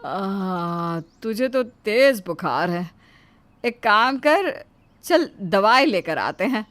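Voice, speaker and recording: Older mother-in-law, woman performing, storybook cartoon: grumbling, bossy, emphatic, slow delivery. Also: medium pitch, animated, fairly bright tone, pitched below the performer's own voice. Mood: concerned. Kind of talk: animated moral storybook acting.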